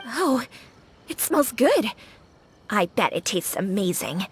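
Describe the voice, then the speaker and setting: Eager bubbly restaurant owner. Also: female voice, medium pitch, very animated, slow pace, breathy edge, exaggerated voice. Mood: excited. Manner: enthusiastic and chatty. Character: young female restaurant owner, upbeat and eager. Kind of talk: game cutscene voice acting. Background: game music.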